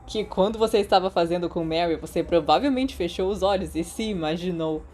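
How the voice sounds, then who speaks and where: A woman's voice: on the low side, brisk, a little mellow, a little hiss or hum, lightly acted. A woman voicing a guarded, sardonic teenage boy with a dry, needling manner in an audiobook. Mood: sly teasing.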